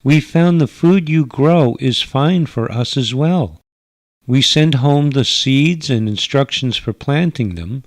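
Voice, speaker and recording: A man's voice: mid-range voice, animated, no room sound, voice pushed up. A man voicing a stern, earnest alien mother in a radio drama, speaking formally and carefully, pleading for her people. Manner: formal, careful foreigner's wording, halting for words. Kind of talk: radio drama narration with character voices.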